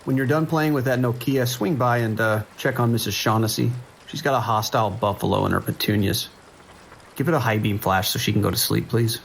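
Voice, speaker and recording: A man playing a calm, wry sheriff's dispatcher with a dry, reassuring manner in an audio drama. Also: mid-range voice, a little fast, gravelly, slight background noise, lightly acted. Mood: dry, businesslike. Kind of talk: naturalistic audio drama acting.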